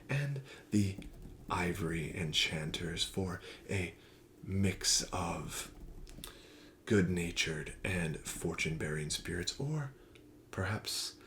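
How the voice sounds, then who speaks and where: A man playing a kindly, courtly pumpkin seller in a wizarding village, soft-spoken and often whispering in an ASMR roleplay, with flowery sales patter. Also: low-pitched, slight background noise, full character voice.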